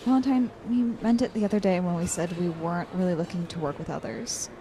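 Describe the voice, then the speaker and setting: A woman's voice: fairly low pitch, fairly even intonation, quick pace, warm tone, a little hiss or hum, lightly acted. A woman playing a studious, guarded college student with a dry, slightly hesitant delivery, in naturalistic audio drama. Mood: firm, careful. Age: young adult.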